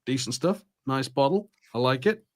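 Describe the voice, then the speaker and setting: A man co-hosting a podcast, chatting casually in a halting, hesitant way. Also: mid-range voice, expressive. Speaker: podcast co-host. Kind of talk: casual chat.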